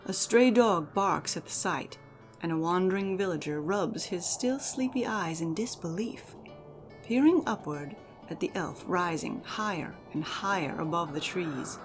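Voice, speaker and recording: A woman giving a measured, theatrical reading as narrator of a fantasy audio drama. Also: on the low side, faint music behind. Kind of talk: dramatic second-person fantasy story narration.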